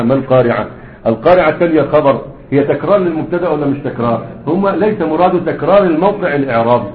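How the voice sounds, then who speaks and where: Fast-talking Egyptian teacher, echoey phone sound. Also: male voice, mid-range voice, narrow, tinny audio. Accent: Egyptian Arabic. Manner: quizzing students with prompting questions. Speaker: grammar teacher. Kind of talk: classroom lecture on grammar. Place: classroom with students.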